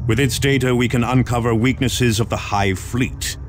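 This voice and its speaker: An actor playing a stern, commanding space marine officer, briefing solemnly and with measured authority in a video game. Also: male voice, mid-range voice, fairly even intonation, slight background noise, natural voice. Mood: stern, urgent.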